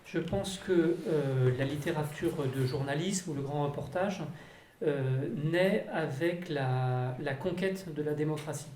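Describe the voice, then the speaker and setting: A panelist giving a measured, lecture-like answer at a round table, with a few fillers. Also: medium pitch, darkish tone, slight background noise. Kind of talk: round-table discussion, explanatory. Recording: echoey room, faint ambience.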